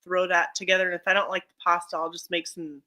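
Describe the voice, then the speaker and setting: A woman chatting casually on a livestream, talkative and quick to laugh. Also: fairly low pitch, little pitch movement, pushed and bright. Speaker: livestream co-host. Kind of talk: casual chat.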